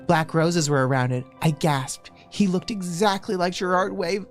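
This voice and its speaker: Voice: mid-range voice, moderate pace. A livestream host reads aloud in a comedic, dramatic way. The delivery is giggly and trips over words now and then. Music plays behind the voice. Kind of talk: live comedic dramatic reading.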